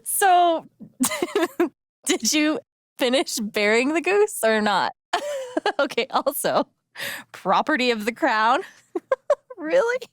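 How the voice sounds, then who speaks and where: An actress playing an earnest, gentle young woman in a video game, speaking softly and haltingly with sincere, naturalistic delivery. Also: high voice, sing-song, no echo, clean studio sound, exaggerated voice. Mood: amused.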